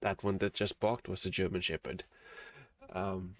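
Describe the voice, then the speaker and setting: Deep-voiced host, Afrikaans native speaker. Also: male voice, flat delivery. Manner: friendly, agreeable, quick to laugh and affirm. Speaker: interview host. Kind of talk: casual interview chat over a call.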